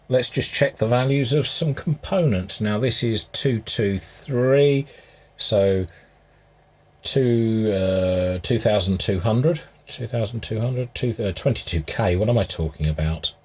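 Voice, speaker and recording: A man with a husky voice giving casual, unscripted hands-on repair commentary, musing aloud as he works. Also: lowish voice, slow pace, darkish tone. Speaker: hobbyist restorer presenting his repair.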